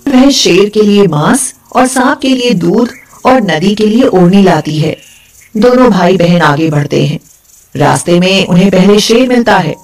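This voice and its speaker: A woman's voice: mid-range voice, animated, unhurried, a little mellow, soft background music. A woman narrating a scripted folk tale as a storyteller.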